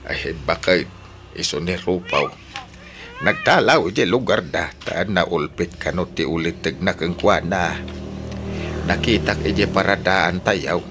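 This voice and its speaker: A deep, fatherly voice with an Ilocano accent, a hospitable middle-aged farmer uncle in a radio drama. He talks in an easygoing, kindly way. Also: measured pace, background noise. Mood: welcoming. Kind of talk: Filipino radio drama acting.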